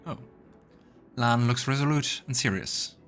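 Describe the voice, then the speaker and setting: A man's voice: medium pitch, a little slow, a bit bright. A man hosting a let's play, mixing game commentary with read-aloud narration. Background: music.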